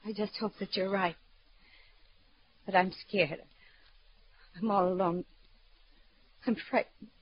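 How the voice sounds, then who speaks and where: A woman's voice: mid-range voice, fairly even intonation, slow pace, long pauses, breathy edge. A woman playing a frightened, pleading wife searching for her husband in a 1940s-style radio drama. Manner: pleading, insistent. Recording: dull old radio recording.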